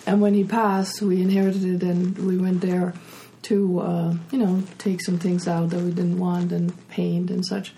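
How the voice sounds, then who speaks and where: A woman's voice: lowish voice, little pitch movement, unhurried, few pauses, mellow, a little hiss or hum, low-quality recording. A woman from Germany with a German accent, casually telling personal stories as an interview guest and author.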